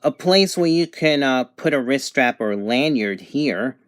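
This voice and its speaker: A man presents a hands-on product review in a mid-pitched voice. His delivery is halting and matter-of-fact, like someone explaining features as he finds them. The sound is dry, with little room to it. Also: expressive, a bit bright, no room sound. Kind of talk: unscripted product demonstration.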